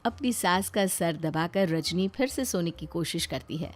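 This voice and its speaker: A woman's voice: lowish voice, lively intonation, unhurried. A woman reading a scripted family story as narrator.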